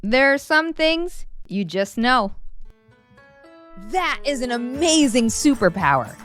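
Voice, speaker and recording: A woman reading a children's story aloud in an animated, dramatic way, drawing out words for emphasis. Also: high voice. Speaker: children's story reader and host.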